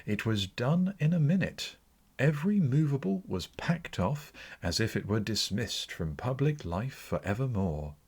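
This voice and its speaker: Expressive, slow-paced male audiobook narrator. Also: mid-range voice, slightly rough. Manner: expressive, theatrical storytelling. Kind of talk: dramatic scripted narration of classic fiction.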